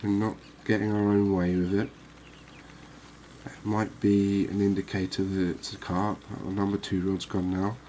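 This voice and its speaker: A man giving calm, unhurried ASMR-style commentary on a fishing game, explaining things as he plays. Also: deep voice, a little hiss or hum. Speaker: gaming video host.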